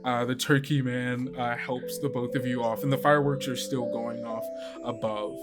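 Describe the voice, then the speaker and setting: A man improvising descriptive narration as game master of a tabletop roleplaying game, in a halting way. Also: mid-range voice, flat delivery, over loud music.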